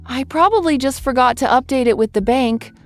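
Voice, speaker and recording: A woman playing a composed bank clerk in an audio story, explaining herself in a measured way. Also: high-pitched, faint music behind, lightly acted. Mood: resigned, explanatory. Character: composed woman bank clerk, eager yet wearily resigned. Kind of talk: audio story character dialogue.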